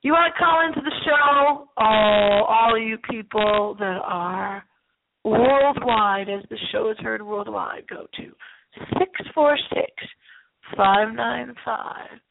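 A woman hosting a paranormal call-in internet radio show in a playful, theatrical, rambling style with drawn-out dramatic delivery. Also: fairly high pitch, gravelly. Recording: lo-fi phone-like line with hiss.

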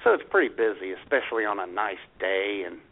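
A man chatting as a podcast co-host, his voice gravelly with some fry. The sound is thin and phone-like. He tells stories in a lively, joking way. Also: fairly low pitch, quick pace. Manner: storytelling, animated and joking. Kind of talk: casual chat. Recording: tinny phone-like lo-fi audio.

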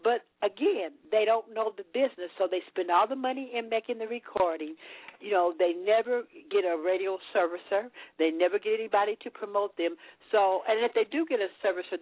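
A woman's voice: mid-range voice, dry recording. A woman guest on a radio show who speaks emphatically, lecturing about the music business. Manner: emphatic, lecturing, with repeated restarts. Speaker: marketing and promotions expert guest. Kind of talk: explanatory advice on talk radio. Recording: dry tinny phone line.

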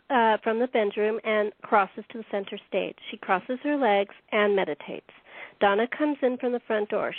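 A woman's voice: medium pitch, dry recording. Woman narrating, thin phone-like sound. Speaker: stage directions reader. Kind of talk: scripted narration of a radio play. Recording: thin, dry phone-like audio.

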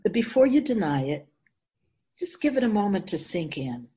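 A woman playing a wise otherworldly spirit in a radio drama, explaining things patiently in a measured, knowing way. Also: medium pitch, very animated, mellow, close to an everyday voice. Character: Keeper of Souls, wise otherworldly spirit in female voice.

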